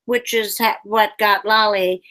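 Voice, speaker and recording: Kobieta grająca gadatliwą 95-letnią babcię. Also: female voice, mid-range voice, fairly even intonation, unhurried, bright, forceful tone. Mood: chatty. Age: older. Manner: rambling, folksy, sighing. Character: chatty 95-year-old granny puppet, sleepy.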